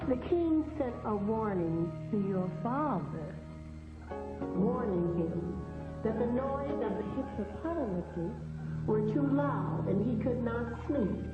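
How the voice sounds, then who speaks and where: A woman's voice: a little high, lively intonation. A woman playing an elderly Egyptian queen and grandmother, telling history in a grave, proud, formal manner in a stage-style dramatization. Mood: solemn, proud. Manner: stately, measured, formal. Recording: echoey hall, thin phone-like sound, music behind.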